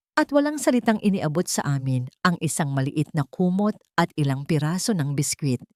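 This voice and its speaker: Female story narrator, lowish register, solemn and measured, scripted first-person drama, dry studio-like sound. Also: darkish tone, no echo.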